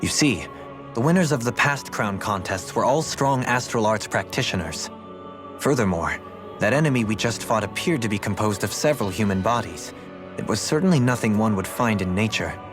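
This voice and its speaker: A man voicing a composed, scholarly gentleman in a dramatic video game dub, speaking formally and in measured phrases. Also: fairly low pitch, lively intonation, natural voice. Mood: pensive. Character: erudite, composed, contemplative gentleman mage. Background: background music.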